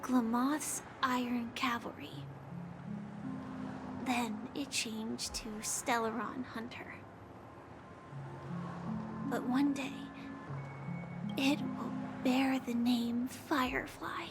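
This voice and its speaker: A wistful young woman warrior from a video game, resigned to her fate. Her voice is high and gentle, often dropping to a breathy hush. She takes her lines very slowly, with prominent music underneath. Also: steady tone. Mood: solemn, resigned. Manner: slow, wistful, often hushed and breathy delivery. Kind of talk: solemn video game drama voice acting. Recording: loud music behind the voice.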